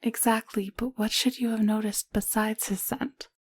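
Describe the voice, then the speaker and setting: A woman playing a caring, level-headed alien medic in an intimate audio roleplay, speaking gently and explaining things. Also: fairly high pitch, steady tone, moderate pace, husky, dry recording, clean studio sound. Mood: thoughtful, explaining. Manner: gentle, explanatory, hushed at times.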